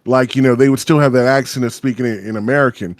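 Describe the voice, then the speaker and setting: A man's voice: medium pitch, fairly even intonation, fast. A man chatting on a podcast in a small home studio, loose and rambling, dropping curses and fillers as he goes. His voice sits in a middle register and the sound is dry and close, with no room echo. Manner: rambling, profane, lots of fillers. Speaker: podcast co-host. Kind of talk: casual podcast chat.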